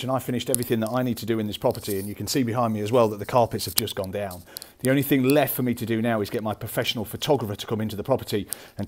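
A man's voice: mid-range voice, a little mellow. A man in his forties from the UK, chatting through practical tips as he walks around an empty rental house, speaking into a wired earphone mic. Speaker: landlord vlogger. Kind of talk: casual walkthrough vlog. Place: empty house and parked car.

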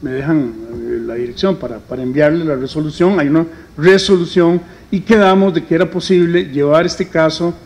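A middle-aged man speaking on a forum panel in a conference hall, halting often with fillers. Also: a little high, a little mellow. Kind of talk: public forum talk.

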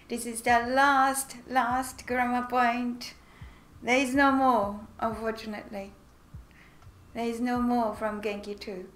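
Japanese lady streamer teacher, high bubbly voice, japanese accent, bit of room echo, chatting w students. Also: fairly bright tone. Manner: bubbly, giggly, switches between languages. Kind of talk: live-streamed lesson with chat greetings.

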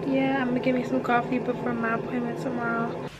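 A woman's voice: a little high, little pitch movement, fast, highs cut off. Young woman vlogging, noisy background. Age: young adult. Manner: informal, slangy, conversational. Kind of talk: casual vlog chat to camera. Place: small indoor rooms and inside a car. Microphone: handheld or tripod camera at close range. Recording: noisy, with vehicle and street ambience.

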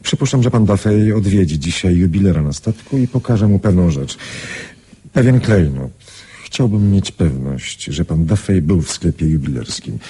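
Mellow, suave gentleman. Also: sits low, animated, normal speed, husky. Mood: discreet, businesslike. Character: suave, courteous, scheming gentleman passenger. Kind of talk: radio drama acting.

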